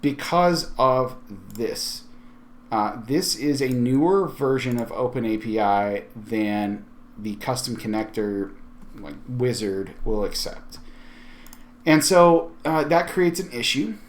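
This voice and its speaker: Man giving an informal software tutorial. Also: mid-range voice, takes it slowly. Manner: conversational, explanatory, thinking aloud. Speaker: tutorial host.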